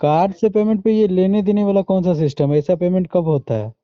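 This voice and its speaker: A man's voice: high voice, fairly quick, clear, resonant tone, dry recording. An Indian man on a muffled recorded phone call, chatting informally and pushing back with questions. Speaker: online seller on a phone call. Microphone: phone line.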